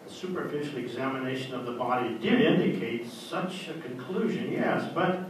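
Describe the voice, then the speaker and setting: An actor playing a probing, reasoning doctor in an old-time radio drama, formal and deliberate in his explanations. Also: mid-range voice, animated, lightly acted. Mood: suspicious, firm. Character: probing, reasoning male doctor, calmly accusatory. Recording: very echoey hall, distant mic, clear noise.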